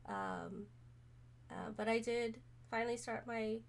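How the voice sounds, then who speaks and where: A woman streamer chatting casually to camera, hoarse from being sick, rambling with many pauses and fillers. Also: on the high side, fairly even intonation. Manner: rambling, many ums, soft-spoken. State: hoarse, recovering from illness.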